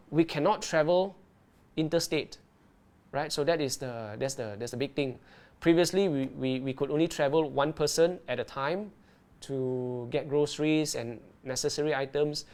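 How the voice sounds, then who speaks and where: A man presenting live to online viewers, his voice sitting a little higher than most men's. He explains things in a lively, chatty way and keeps checking in with his viewers as he goes. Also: clear, resonant tone. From Malaysia. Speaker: underwater video presenter. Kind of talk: live-streamed tutorial and Q&A.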